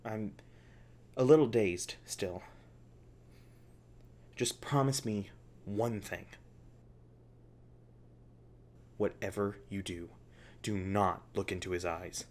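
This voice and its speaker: A man playing a shaken, traumatized researcher in an audio roleplay, speaking in a halting, stammering monologue. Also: fairly low pitch, steady tone, darkish tone, a little hiss or hum. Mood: urgent, fearful. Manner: halting, stammering, faltering delivery. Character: shaken, traumatized male researcher recounting torment. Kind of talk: ASMR roleplay acting, intimate monologue.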